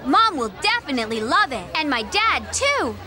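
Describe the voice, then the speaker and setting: A woman voicing a cheerful, excitable young girl witch in a cartoon. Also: high voice, moderate pace, loud music behind the voice. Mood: excited. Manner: bubbly, excitable. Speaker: lead character.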